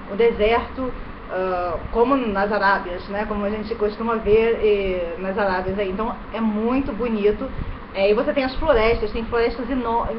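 A woman in her thirties with a Rio de Janeiro accent, chatting casually to her webcam in a bedroom, often tagging her sentences with quick questions. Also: mid-range voice. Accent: Rio de Janeiro Brazilian Portuguese. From Brazil. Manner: chatty, tag questions, gesturing hands. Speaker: vlogger. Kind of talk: casual chat to camera. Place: bedroom indoors in India. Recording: dull webcam audio with fan noise. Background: electric fan running.

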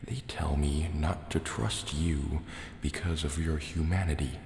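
A man voicing a large, menacing, distrustful sea serpent dragon in a slow, deliberate, soft-spoken ASMR roleplay. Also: a bass voice, fairly even intonation, vocal fry, exaggerated voice. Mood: suspicious, threatening. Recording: echoey cave reverb, faint noise. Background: water and cave ambience.

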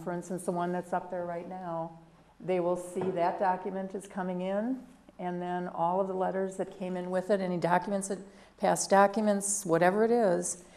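A woman whose voice sits lower than usual today, with a gravelly edge and a dark, mellow tone. She talks through procedure at a council meeting in a practical, matter-of-fact manner and stays in a narrow pitch band. Her delivery is that of a city clerk explaining things to the members.

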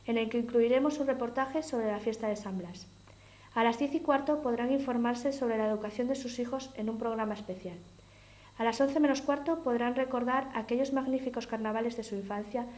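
A woman's voice: on the high side, a little mellow. A young woman presenting on local television, formally reading programme announcements from a sheet in a small studio. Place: small indoor studio with curtains.

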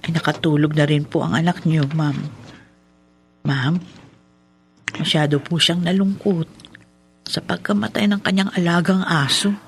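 A woman's voice: deep voice, fairly even intonation, unhurried, pauses often, slight background noise. A woman playing a caring, respectful Filipino nanny in a radio drama. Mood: worried, deferential.

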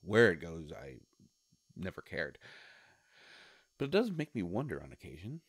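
A man playing a sly devilish shopkeeper in a roleplay audio, coaxing and musing with hesitant pauses. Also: low-pitched, wildly swooping pitch, slightly rough, no echo, studio quality, full character voice. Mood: wry, coaxing. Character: sly devilish male shopkeeper of a wish-granting store. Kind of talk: scripted roleplay audio monologue.

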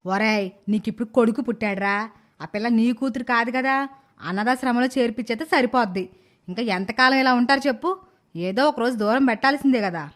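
Woman acting an old grandmother, bossy, blunt, grumbling, scheming, animated moral story. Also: fairly high pitch, fairly quick, lightly acted. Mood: scornful.

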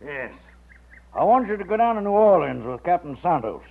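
Gravelly, blustery old Texan colonel. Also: male voice, sits high, very animated, heavily acted. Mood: genial, brisk. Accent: American. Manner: blustery, bossy, drawn-out exclamations. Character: gruff, commanding fifty-year-old Texan colonel, blustery but fond. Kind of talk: old-time radio drama acting. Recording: muffled, phone-like old radio recording.

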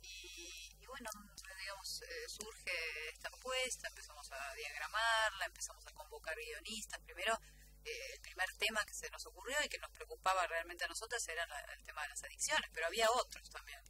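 Argentine woman, lively intonation, noisy recording. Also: medium pitch, fairly quick. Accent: Rioplatense Argentine Spanish. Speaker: guest, radio drama actress and announcer. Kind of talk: conversational radio interview. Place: small radio studio. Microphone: studio table microphone. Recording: noisy with audible hum.

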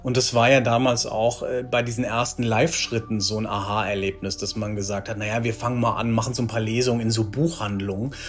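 A man's voice: fairly low pitch, little pitch movement, fairly quick, few pauses. Middle-aged man chatting in an interview. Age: 40s. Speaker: audio drama writer, interviewee. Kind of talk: casual interview talk. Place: small bright room with bookshelves, indoors. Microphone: video call.

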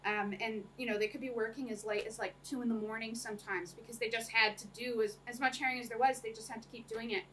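A woman's voice: on the high side, steady tone, quick pace, few pauses, fairly bright tone. A young woman giving an academic talk to a small audience, chatty, with many ums and frequent laughs. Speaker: lecturer. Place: small room with projector screen. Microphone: camera across the room. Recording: distant room mic, faint noise.

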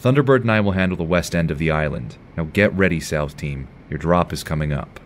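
A man's voice: low voice, a little fast, slight background noise, lightly acted. An actor playing an earnest, stern young male superhero team leader in an audio drama, serious and commanding.

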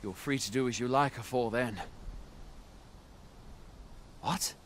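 A man's voice: medium pitch, slow, a little hiss or hum, lightly acted. A man playing an armored soldier, wary but helpful, in a fantasy game with archaic, theatrical phrasing. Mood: puzzled.

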